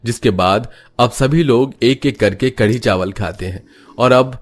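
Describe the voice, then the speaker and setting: A man's voice: medium pitch, measured pace. A man giving scripted narration for a cartoon moral story. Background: background music.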